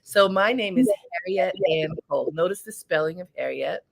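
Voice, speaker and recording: A woman's voice: lowish voice, lively intonation, moderate pace, creaky. A middle-aged woman hosting an interview, telling stories in an emphatic, conversational way. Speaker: host.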